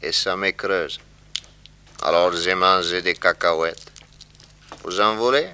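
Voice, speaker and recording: An actor playing a drowsy, eccentric gatekeeper with a sluggish, deadpan manner in a 1960s French radio drama. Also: male voice, sits low, slow, clean tone, full character voice. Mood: sleepy, indifferent. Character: drowsy, eccentric gatekeeper munching peanuts, calming his dog.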